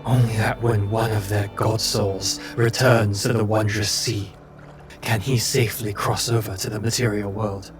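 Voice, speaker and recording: A man voicing a weary, sorrowful fox spirit in a tabletop roleplay, with grave and deliberate phrasing. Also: fairly low pitch, little pitch movement, close to an everyday voice. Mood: grave, resigned. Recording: music behind the voice.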